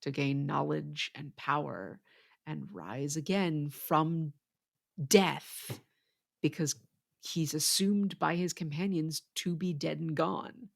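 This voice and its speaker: A podcast co-host explaining things in a measured way during a casual literary discussion. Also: medium pitch, creaky.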